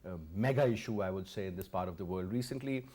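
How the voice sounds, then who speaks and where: A man's voice: lowish voice, fast, darkish tone, husky. A man, hosting a TV interview and pressing his guest with emphatic questions. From Pakistan. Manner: emphatic, questioning, repeats words. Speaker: TV host.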